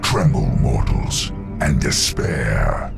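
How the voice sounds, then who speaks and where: Game demon overlord, slow and booming, lively swings in pitch. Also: male voice, exaggerated voice. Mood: menacing. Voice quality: gravelly. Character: colossal ancient demon lord, domineering and cruel. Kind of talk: video game cutscene acting. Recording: game audio over loud music.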